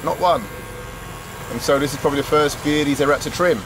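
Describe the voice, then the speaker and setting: A man's voice: on the high side, fairly even intonation, quick pace. A man in his forties, a travel vlogger, chatting jokily to camera and to locals in simple English while filming himself on a handheld camera. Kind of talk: casual travel vlog narration. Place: open-sided roadside barber hut, then a sunny street outdoors. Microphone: handheld action camera at arm's length. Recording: outdoor street noise behind.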